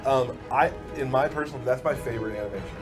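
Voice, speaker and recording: A man's voice: mid-range voice, quick pace, over music. A man chatting casually as a co-host of a gameplay show. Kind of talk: casual banter.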